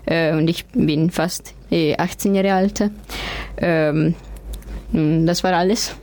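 A woman's voice: lowish voice, steady tone, takes it slowly, darkish tone. A woman from northern Italy whose first language is Italian, introducing herself hesitantly with an Italian accent. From Trento, northern Italy. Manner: hesitant self-introduction with fillers.

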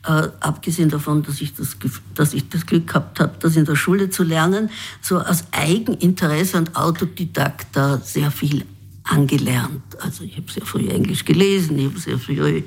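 Low, husky woman. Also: moderate pace, darkish tone. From Vienna, Austria. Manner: searching, frequent fillers. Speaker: interview guest. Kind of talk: reflective interview answer.

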